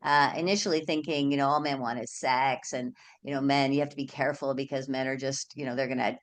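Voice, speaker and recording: A middle-aged woman hosting an interview, talking in an earnest, reflective, halting way. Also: a bass voice, fairly even intonation. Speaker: host, sexuality coach. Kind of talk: casual interview chat.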